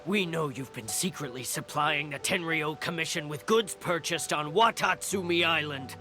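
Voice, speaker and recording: An actor voicing an earnest male soldier who forcefully accuses a merchant. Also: on the high side, moderate pace, faint music behind. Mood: accusing. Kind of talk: video game voice acting.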